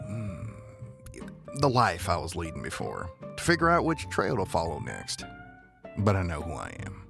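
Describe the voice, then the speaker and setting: A man's voice: sits low, vocal fry, exaggerated voice. A man playing an earnest, courteous, hesitant ranch man in an audio drama romance. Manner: hesitant, earnest, laid-back.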